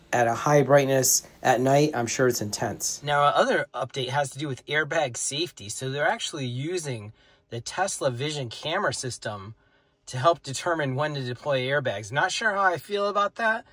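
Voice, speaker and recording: A man's voice: medium pitch, lively intonation, moderate pace, fairly bright tone, no echo. A middle-aged man explaining car features in a casual vlog from inside a parked car, filming on a phone held at arm's length. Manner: plain, matter-of-fact explaining. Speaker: car tech vlogger.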